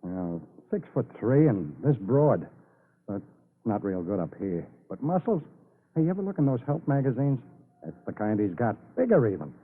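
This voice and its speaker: A cocky, nosy neighbor in a vintage radio crime drama. His pitch jumps around as he chatters in eager slang, all through a thin, band-limited broadcast. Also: sing-song, normal speed, husky, narrow, tinny audio. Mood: musing. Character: brash, chatty, nosy male city neighbor.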